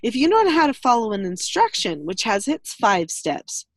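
Earnest lady host giving parenting advice, bit high voice, dry close sound. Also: big pitch swings, moderate pace, no room sound. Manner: earnest, instructive, laughs often. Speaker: podcast host, parenting teacher. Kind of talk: casual advice chat.

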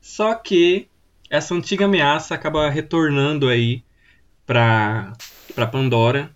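A man co-hosting a podcast, lively and bossy with his friends in casual chat. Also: a little high, measured pace, muffled recording. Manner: lively, bossy ringleader of the group. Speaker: podcast co-host.

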